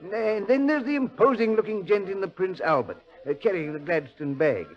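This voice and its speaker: Deferential high-voiced railway official. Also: male voice, animated, moderate pace. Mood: deferential, informative. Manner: deferential, hesitant, explanatory. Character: deferential, fretful male railway station official. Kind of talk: 1940s radio drama acting. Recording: dull old radio recording.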